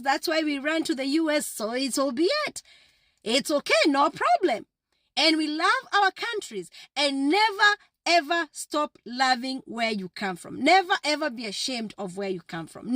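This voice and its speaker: Lady vlogger, really high voice, preachy pep talk, dry close sound. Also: a bit bright, dry recording. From Kenya. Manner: emphatic, repetitive, preacher-like exhortation. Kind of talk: motivational direct address to viewers.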